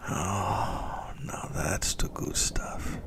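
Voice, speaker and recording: Man acting out a slow, whispering, gravelly possessive vampire lover. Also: sits low, a bit bright, exaggerated voice. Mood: casual, savoring. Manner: slow, intimate, possessive, casually menacing. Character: possessive centuries-old male yandere vampire lover, smugly menacing. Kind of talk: whispered ASMR roleplay.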